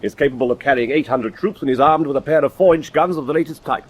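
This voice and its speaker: A man playing a confident, brisk big game hunter in a radio drama, formal and direct. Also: mid-range voice, natural voice. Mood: confident, persuasive.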